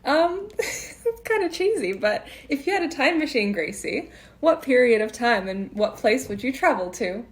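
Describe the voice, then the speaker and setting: A woman's voice: fairly high pitch, very animated, moderate pace. A woman with a North American accent hosting a podcast interview in a casual, conversational style.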